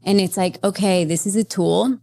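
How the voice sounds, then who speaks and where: A woman therapist speaking as a podcast guest, explaining at length in a lively, conversational way with many fillers. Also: medium pitch, normal speed, creaky. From Las Vegas, Nevada, USA. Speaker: guest, psychedelic integration therapist. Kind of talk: conversational interview.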